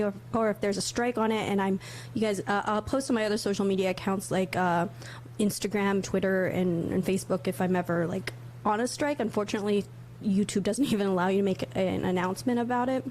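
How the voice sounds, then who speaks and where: Woman livestream host, mid-pitched, chatty and laughing, faint background hum. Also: darkish tone, rough recording. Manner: chatty, laughs easily, thinks aloud. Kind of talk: casual livestream chat.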